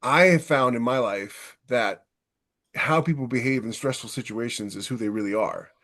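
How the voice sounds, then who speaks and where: A man's voice: mid-range voice, normal speed, fairly bright tone. A man co-hosting a podcast, explaining his views in casual, conversational discussion. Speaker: podcast co-host.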